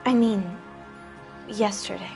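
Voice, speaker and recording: A woman's voice: mid-range voice, fairly even intonation, slow pace, creaky, darkish tone, soft background music. A woman voicing a naive, frightened teenage girl in a video game, hesitant and plaintive. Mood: hesitant, fearful.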